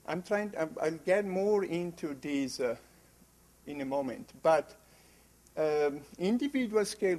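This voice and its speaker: A man with an Italian accent giving an academic conference presentation, halting often with fillers and restarts. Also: on the high side. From Italy.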